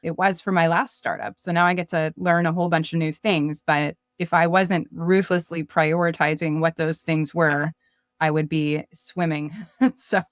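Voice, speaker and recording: A woman's voice: deep voice, flat delivery, normal speed, no room sound, studio quality. A woman speaking as a podcast guest in casual business chat, often voicing imagined quotes as she explains. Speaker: podcast guest, startup marketer.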